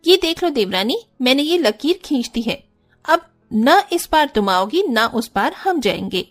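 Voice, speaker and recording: Woman performing a spiteful sister-in-law, cartoon story, high voice, taunting, quarrelsome, haughty. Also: big pitch swings, a little slow, soft background music, exaggerated voice. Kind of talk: exaggerated Hindi cartoon story acting.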